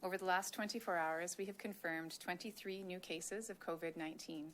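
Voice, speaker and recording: A woman health official delivering a formal press update in a measured, official way. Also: lowish voice, fairly even intonation, a bit bright, a little hiss or hum. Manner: measured, official, reading statements.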